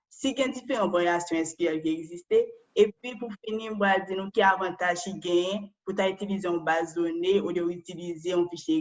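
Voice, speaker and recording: A woman's voice: on the low side, highs cut off. A Haitian woman and data analyst giving an online presentation, explaining step by step and often ending phrases with a questioning tag.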